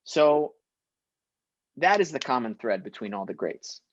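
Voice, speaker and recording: A man's voice: mid-range voice, very animated, measured pace, dull audio, slight background noise. A young man born in Syria, a guest actor talking casually and emphatically in an interview, laughing often.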